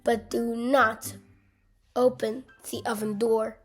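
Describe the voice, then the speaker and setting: Old storybook granny baker played by a woman: high, measured, firm, soft music behind. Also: level tone. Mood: instructing.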